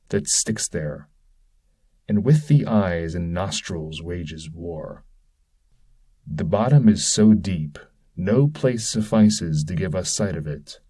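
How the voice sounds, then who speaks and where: Guy reading old epic poem out loud, really slow, solemn, voice swings up and down. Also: lowish voice, slightly rough. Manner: solemn, measured, dramatic recitation. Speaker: audiobook-style reader. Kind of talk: scripted reading of epic poetry.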